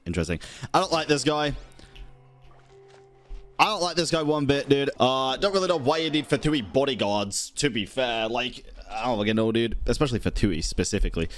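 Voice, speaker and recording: A man's voice: medium pitch, animated, fairly quick, soft background music. A man casually reacting to a game as a streamer, blunt and sweary with lots of slang.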